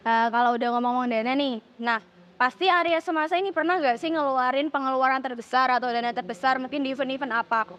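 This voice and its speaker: A woman's voice: high-pitched, quick pace, fairly bright tone. A teenage young woman, hosting a casual interview in chatty, slangy speech and addressing viewers. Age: teens. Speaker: student podcast host. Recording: dull lo-fi audio.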